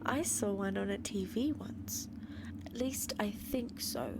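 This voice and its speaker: A woman's voice: mid-range voice, unhurried, vocal fry. A woman playing a frail, starving famine horse in a comic radio play, whispery, wistful and naively rambling. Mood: wan, wistful.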